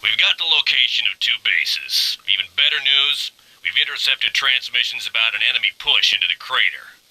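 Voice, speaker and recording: A wry, swaggering pirate mech pilot lays out a video game mission briefing. His voice is forceful and very bright, recorded close and dry. The delivery is understated and sardonic. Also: medium pitch, lively intonation, normal speed, no room sound, rough recording. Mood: wry, businesslike.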